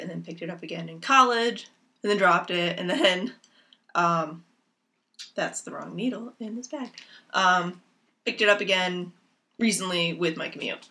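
A young woman rambles through her knitting projects at an unhurried pace, filling gaps with ums. Her tone is bright and clear, though a lingering cough leaves it slightly raspy. She sits at home, speaking into a laptop mic. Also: mid-range voice. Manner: chatty and rambling, with many ums. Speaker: knitting podcast host. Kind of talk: casual chat to camera. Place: small room at home, indoors. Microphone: laptop camera mic. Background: rain outside.

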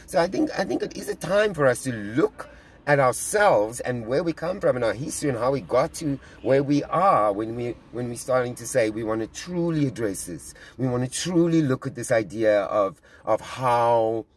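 A man's voice: mid-range voice, animated, breathy edge. A middle-aged man with a South African accent, recording himself in a car with his phone and talking earnestly about church news, often stopping and repeating words as he thinks. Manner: earnest, halting, many restarts and repeated words. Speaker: vlogger commenting on church news. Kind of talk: casual spoken commentary. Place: inside a parked car. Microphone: phone camera held at arm's length.

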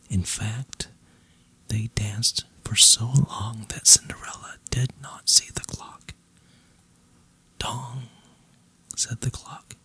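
A storyteller narrating a bedtime fairy tale in a breathy, voiceless whisper, slowly and deliberately with pauses. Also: mid-range voice, sharp tone. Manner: slow, deliberate, with long pauses.

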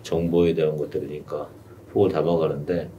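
A man's voice: very deep voice, level tone, a little fast, mellow, lo-fi sound. A middle-aged man coaching a client one-on-one in a small studio room, explaining steadily and patiently. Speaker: YouTube coach. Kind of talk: one-on-one consulting advice. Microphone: desk mic on stand, heard from a distance. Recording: distant mic with faint room noise.

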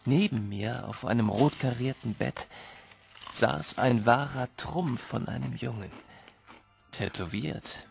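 A man's voice: medium pitch, takes it slowly, vocal fry. A man narrating a fanciful Christmas tale in the first person, as scripted audio drama storytelling. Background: loud music.

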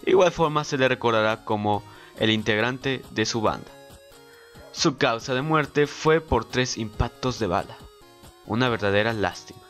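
A man's voice: mid-range voice, animated, normal speed, a bit bright. A man with a mid-range voice co-narrates a trivia video. He reads scripted facts as a guest, with faint music behind him.

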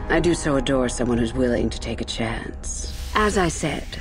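Low-voiced, scheming Sith teacher. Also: female voice, lively intonation, music in the background. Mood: sly conspiratorial. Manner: measured, insinuating, archly formal. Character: scheming female Sith teacher, cool, ambitious and manipulative. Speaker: academy teacher. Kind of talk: scripted video game acting.

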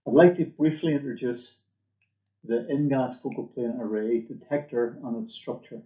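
A man's voice: medium pitch, moderate pace. A middle-aged man giving a scripted product presentation as a company presenter, recorded indoors at a desk. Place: indoor room, seen on webcam. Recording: echoey room, dull muffled audio.

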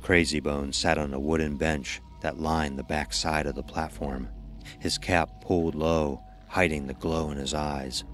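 A man reading scripted horror narration in a measured, ominous storytelling manner. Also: rumbling low.

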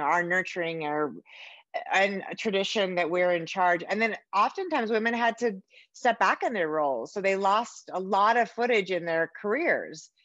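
A woman's voice: on the low side, a bit bright, dry recording, lo-fi sound. A woman in her forties hosting a conversational author interview over a video call. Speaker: interviewer host. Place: home room with bookshelves, indoors.

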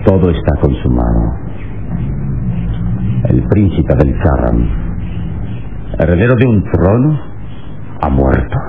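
An actor playing a suave, unflappable adventure hero in a radio serial, measured and theatrical, with thoughtful murmurs. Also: male voice, a bass voice, big pitch swings, creaky, full character voice. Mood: cool, resolute. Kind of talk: Spanish-language radio serial acting. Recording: tinny, noisy old radio serial recording.

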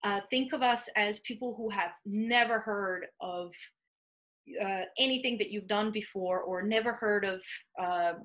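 Bright Serbian-accented woman on a video call. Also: mid-range voice. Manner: halting, with many fillers and restarts. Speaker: art commissioner. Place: small home office. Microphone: video call webcam.

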